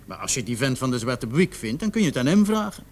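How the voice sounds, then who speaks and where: A man's voice: mid-range voice, big pitch swings, quick pace. A man acting in a radio play as a defensive young murder suspect, protesting and hesitant. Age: young adult.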